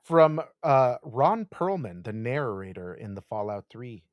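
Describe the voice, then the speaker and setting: Man, podcast host, playful quizmaster, halting clues, slow pace, dry close sound. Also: medium pitch, sing-song, no echo, muffled recording. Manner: playful, gives hesitant clues full of fillers. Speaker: podcast co-host running a quote quiz. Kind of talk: casual chat.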